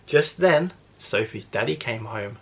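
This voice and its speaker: A man's voice: mid-range voice, expressive, unhurried, creaky, a bit bright, breathy edge. A man, a primary school teacher, reading a children's storybook expressively to young listeners.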